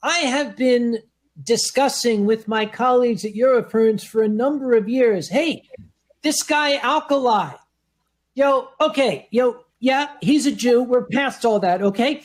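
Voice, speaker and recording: A man on a live comedy panel telling a story in a dry, deadpan way. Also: extremely high pitch, a little slow.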